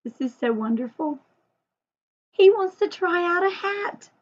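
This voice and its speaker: Female children's storyteller, high-pitched and unhurried, sing-song and expressive, on a dull lo-fi recording. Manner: expressive, sing-song, asks listeners questions. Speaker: storyteller and teacher for young children. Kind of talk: read-aloud children's story with chatty asides to young viewers.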